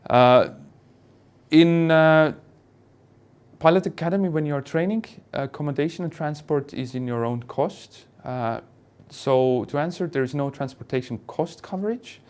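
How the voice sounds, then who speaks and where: A man speaking as an airline pilot and instructor, answering questions in an online Q&A in a hesitant, measured way. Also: a little high, darkish tone, smooth.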